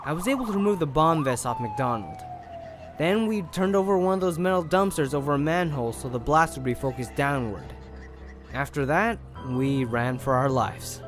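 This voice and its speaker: A man's voice: on the high side, sing-song, normal speed, over music. A man playing a brave, self-sacrificing policeman in an audio crime drama. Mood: relieved.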